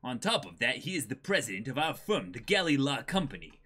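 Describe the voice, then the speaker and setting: A man's voice: medium pitch, sing-song, fairly quick, pushed and bright, slightly rough, exaggerated voice. A man voicing a courteous, matter-of-fact young shipwright who talks like an older man. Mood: polite, businesslike. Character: courteous young shipwright with old-fashioned speech.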